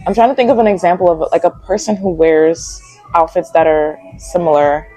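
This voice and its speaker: A woman's voice: on the low side, fairly even intonation, fairly quick, warm tone, poor audio. A young woman of Guyanese heritage, a magazine founder, chatting casually as an interview guest in a cafe, full of "like" fillers. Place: cafe and lounge.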